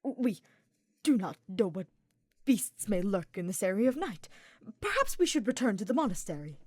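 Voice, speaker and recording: Female reader as reserved male mage, uneasy, stiff formal diction, halting delivery, storybook drama, dry recording. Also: medium pitch, big pitch swings, a little mellow, dry recording. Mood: nervous, reluctant. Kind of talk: dramatised fiction reading.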